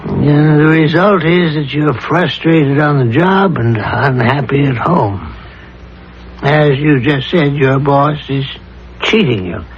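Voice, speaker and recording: An actor playing a calm, insistent psychiatrist with a measured, deliberate delivery in a 1970s radio drama. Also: male voice, a little high, slight background noise. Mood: pressing. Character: calm, probing male psychiatrist pressing his patient with theories. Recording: dull lo-fi 1970s radio recording.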